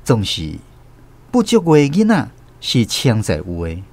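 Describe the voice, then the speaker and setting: A man's voice: fairly low pitch, wildly swooping pitch, a little slow, gravelly, a little mellow. A man with a Taiwanese accent narrates a radio drama as a first-person storyteller.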